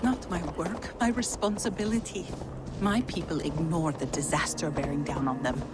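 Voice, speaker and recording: Hushed, haunted giantess seer played by a woman, reverberant. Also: sits low, heavy background noise, natural voice. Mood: troubled, resolute. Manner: hushed, halting, dreamy. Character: reclusive giantess seer, gentle, haunted, devoted. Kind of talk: video game drama acting. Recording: long hall reverb, faint ambience.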